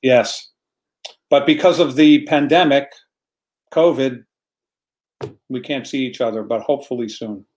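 A man's voice: medium pitch, steady tone, measured pace, long pauses, a little mellow. An American man, a guest in a casual online chat, telling a story earnestly and repeating words as he searches for them. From United States.

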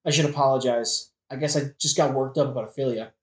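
A woman's voice: a bass voice, steady tone, quick pace. A woman playing a sarcastic, melodramatic young prince in a modern comedic audio play, with theatrical delivery. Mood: sardonic. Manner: theatrical, sardonic. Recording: roomy echo.

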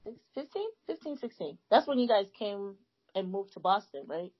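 Husky-voiced woman telling emphatic stories. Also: medium pitch, moderate pace, highs cut off. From United States, Nigerian family. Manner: animated storyteller, emphatic. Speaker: guest. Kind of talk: casual conversation.

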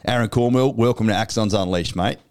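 Aussie bloke hosting a podcast, lowish smooth voice, upbeat and matey. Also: male voice, a little fast. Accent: Australian. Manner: blokey, upbeat, swears casually. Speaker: podcast host. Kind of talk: casual podcast chat.

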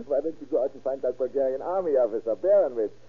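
Smirking, husky jail suspect, tinny old radio. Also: male voice, medium pitch. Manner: insolent, chuckling, laconic. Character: smirking, evasive male murder suspect taunting the sheriff. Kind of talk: 1930s-1940s radio acting.

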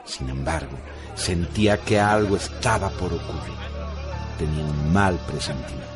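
A man giving solemn, measured scripted narration for a radio drama. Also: very deep voice, over music.

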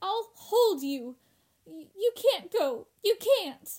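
A woman's voice: very high voice, very animated, slow, a little mellow, heavily acted. A woman playing a frightened, fragile young woman in a radio horror drama, anxious and devoted, pleading breathlessly and repeating herself. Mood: soothing, pleading.

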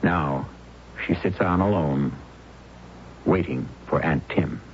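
A man narrating a 1970s radio mystery drama in a grave, measured storytelling manner. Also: very deep voice, slight background noise. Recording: muffled old radio broadcast.

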